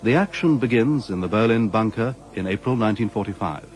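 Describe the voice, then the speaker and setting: Low-voiced formal male announcer over music. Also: animated. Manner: measured, formal. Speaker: radio drama announcer. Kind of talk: scripted announcement.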